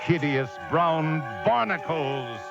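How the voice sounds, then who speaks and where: A man's voice: on the high side, animated, takes it slowly, creaky, loud music behind the voice, exaggerated voice. A man playing a haunted lighthouse keeper, narrating a radio horror drama with suspenseful delivery. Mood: dread, suspense. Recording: dull lo-fi radio broadcast.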